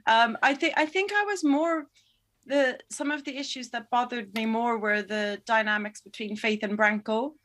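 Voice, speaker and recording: A woman's voice: on the high side, normal speed, a bit bright. A woman documentary director answering interview questions in a thoughtful, hesitant way, often restarting her sentences. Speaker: documentary film director, guest.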